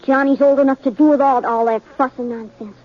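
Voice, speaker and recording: A young runaway boy in an old radio western. The voice is high, plain-spoken and a little hesitant, polite but wounded underneath. The sound is dull and vintage. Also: fairly even intonation, fairly quick. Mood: sullen, hurt. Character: orphaned young runaway boy, sullen yet curious. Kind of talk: 1950s radio western acting.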